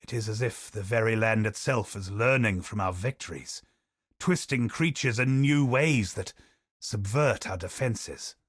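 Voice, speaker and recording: A weary knight commander for a game, grave and brooding as he records his journal. His voice sits on the lower side and every line comes measured and solemn. The studio sound is dry and close, with no room around it. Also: dry recording. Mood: grim, solemn. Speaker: voice actor reading in-game lore. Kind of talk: scripted game narration. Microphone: studio voice-over.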